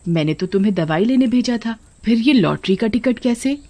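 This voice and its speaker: A woman's voice: fairly high pitch, expressive, a little fast, warm tone. A woman as a worried, humble poor mother. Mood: concerned. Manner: humble, beseeching. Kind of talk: moral story character reading.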